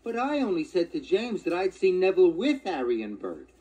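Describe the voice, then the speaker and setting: A woman's voice: lowish voice, huge pitch swings, a little fast, warm tone, voice pushed up, rough recording. A woman voicing an earnest, huffy male little steam engine in a children's storybook, speaking emphatically. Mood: puzzled.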